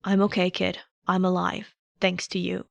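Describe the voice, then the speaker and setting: A woman voicing a protective, tender male teacher in a quiet, steady, restrained way for a story reading. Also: on the low side, normal speed, vocal fry, dry recording, lo-fi sound. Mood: quiet reassurance. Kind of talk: audiobook character voice.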